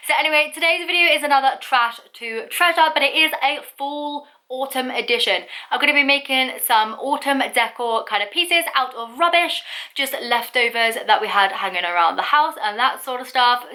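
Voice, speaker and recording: A woman's voice: sits high, sharp tone, dry recording. A young woman vlogging a DIY tutorial in a casual, upbeat way, full of "like" fillers, while she is losing her voice. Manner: chatty, upbeat, lots of "like" fillers.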